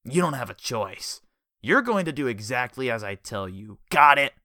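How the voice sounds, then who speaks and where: A man's voice: medium pitch, wildly swooping pitch, normal speed, bright, forceful tone, no room sound, studio quality, exaggerated voice. A man voicing a gloating, vengeful male crook who threatens with a knife. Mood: threatening.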